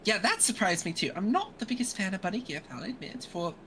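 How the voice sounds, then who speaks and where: A woman's voice: medium pitch, big pitch swings, fast, fairly bright tone, slight background noise. A woman hosting a casual podcast chat who drops into whispered asides. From a metropolitan area. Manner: whispers asides, laughs often. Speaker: podcast host.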